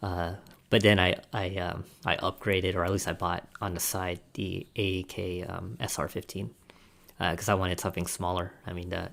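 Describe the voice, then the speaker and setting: A man's voice: low voice, creaky, fairly bright tone. A man on a podcast panel, casually recounting his own experience in conversation. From United States. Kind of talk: casual personal storytelling in a discussion.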